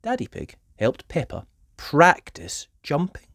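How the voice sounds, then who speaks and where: Woman reading a kids story out loud, really animated, takes her time, dry close sound. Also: low voice, slow, dry recording. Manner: expressive, emphatic storytelling. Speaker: storybook reader. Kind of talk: animated scripted children's story narration.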